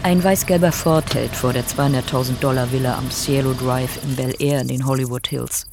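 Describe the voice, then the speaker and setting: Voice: mid-range voice, fairly even intonation, brisk, creaky, background noise. A narrator reading scripted documentary-style narration in a radio play.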